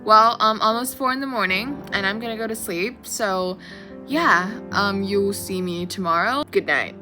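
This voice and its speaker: A woman with a light Thai accent chatting excitably and dramatically as she reacts to a book she is reading, full of restarts. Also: medium pitch, moderate pace. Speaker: book vlogger. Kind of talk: casual reading reaction vlog. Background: faint background music.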